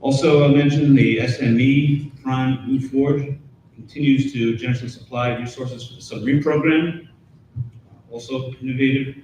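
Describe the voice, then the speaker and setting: A man giving a matter-of-fact committee report at a school board meeting. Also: moderate pace. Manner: steady, matter-of-fact, occasional uhs. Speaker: board member, committee chair. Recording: echoey room from far off, faint rumble.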